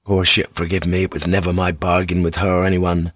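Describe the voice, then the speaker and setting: A man's voice: sits low, little pitch movement, fairly quick, a bit bright, breathy edge, no echo, voice pushed down. A man voicing a weary, brooding ship's pilot in an audiobook, subdued and fatalistic. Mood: despairing, resigned. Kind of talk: dramatic audiobook character voice.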